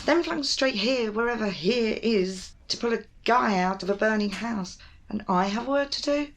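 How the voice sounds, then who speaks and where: A woman's voice: a little high, expressive, normal speed. A woman playing a wry, evasive young rescuer in an intimate ASMR audio roleplay, with a sardonic, hesitant manner. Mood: indignant, exasperated. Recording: noisy ambience behind close voice.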